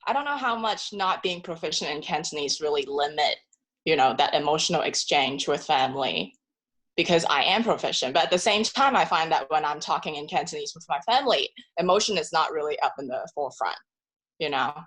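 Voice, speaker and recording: Low-voiced chatty woman, rambling casually. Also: expressive, brisk, a bit bright. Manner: chatty, rambling, lots of "like" fillers, laughs often. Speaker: audience member asking a question. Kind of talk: casual chat. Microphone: video call.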